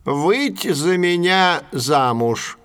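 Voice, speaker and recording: A lonely, proud crane from a children's folk tale, acted by a man. The voice is on the high side and swings widely in pitch, with slow, emphatic storybook delivery. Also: expressive, fairly bright tone, a little hiss or hum, heavily acted. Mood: hopeful proposing.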